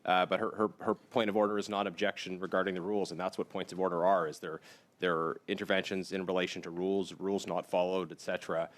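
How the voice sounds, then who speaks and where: A man's voice: low voice, little pitch movement, fast. A man with a Canadian accent speaking as a parliamentarian, matter-of-fact and pedantic in procedural debate. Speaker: member of parliament. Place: committee meeting room.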